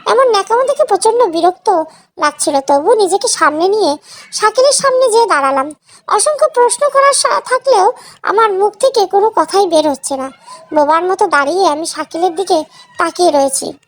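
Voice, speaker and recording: Very high-pitched woman narrating an emotional story. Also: expressive, brisk. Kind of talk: scripted first-person emotional story narration.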